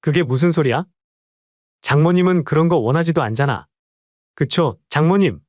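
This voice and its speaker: A man's voice: a little high, moderate pace, no echo, lightly acted. An actor plays an annoyed young husband, a man who brushes others off. He speaks with a dismissive edge. The audio drama recording is dry and slightly dull. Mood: defensive. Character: irritated, dismissive young husband and son-in-law. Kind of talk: Korean audio drama dialogue.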